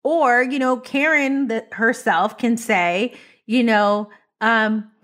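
A woman co-hosting a podcast in casual chat, talking in a bold, expressive, emphatic way. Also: on the high side, slow. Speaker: podcast co-host.

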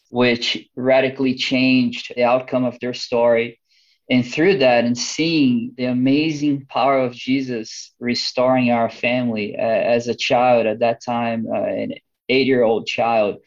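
Enthusiastic Brazilian-accented man, lo-fi line. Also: mid-range voice. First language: Portuguese. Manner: enthusiastic, many restarts. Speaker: missionary guest. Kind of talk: podcast interview.

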